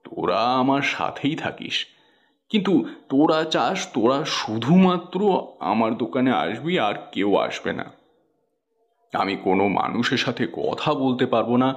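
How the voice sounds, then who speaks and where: A man's voice: mid-range voice, very animated, a little slow, highs cut off. A man playing a kindly village snack-seller in a horror cartoon, speaking in an earnest, emotive way. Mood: anguished. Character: kindly, pleading village snack-stall uncle. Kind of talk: cartoon horror story acting.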